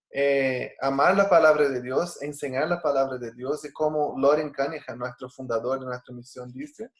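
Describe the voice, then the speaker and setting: Man from Brazil, native Portuguese speaker, earnest Bible teacher, fairly high voice, echoey room, video call mic. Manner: earnest, with frequent fillers and restarts. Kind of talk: online class over video call.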